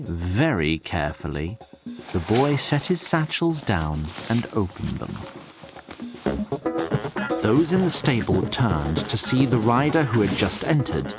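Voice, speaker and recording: A man narrating a scripted audio drama in a wry, formal storybook manner, addressing the listener directly. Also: medium pitch.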